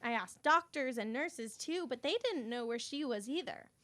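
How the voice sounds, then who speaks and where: High, animated, bubbly schoolgirl, played by a woman. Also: normal speed. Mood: chirpy storytelling. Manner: chirpy, oblivious, earnest. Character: bubbly self-absorbed schoolgirl narrating her own story. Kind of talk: campus radio serial drama acting.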